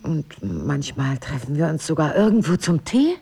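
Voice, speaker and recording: Low, expressive wry wife. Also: female voice, moderate pace, gravelly, breathy edge, exaggerated voice. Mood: teasing, amused. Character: wry, tender wife of amnesiac husband, quietly wistful. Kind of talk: intimate radio drama acting.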